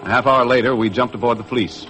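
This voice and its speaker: A man's voice: medium pitch, steady tone, fast talker, close to an everyday voice, low-quality recording. An actor playing a wry, tough American boat skipper who narrates his own adventure in a 1950s radio drama. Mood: wry narrating. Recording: vintage radio recording with music.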